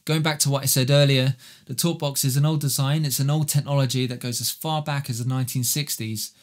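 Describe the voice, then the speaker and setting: A man with a medium-pitched voice talks through guitar gear as a presenter. His delivery is casual and explanatory, like an online tutorial. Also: steady tone, brisk. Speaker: guitar effects demonstrator and presenter.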